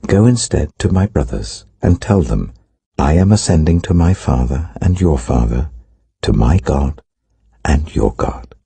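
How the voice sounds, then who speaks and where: An older man with a British accent voicing a gentle, authoritative Jesus, calm, solemn and deliberate, in a scripture audiobook. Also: very deep voice, gravelly, breathy edge, no echo, full character voice. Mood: calm authority.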